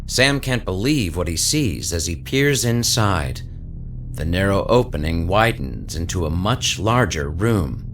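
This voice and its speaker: A man's voice: low-pitched, very animated, a little slow, heavily acted. A man reading dramatic scripted narration for an audio story, with music behind him.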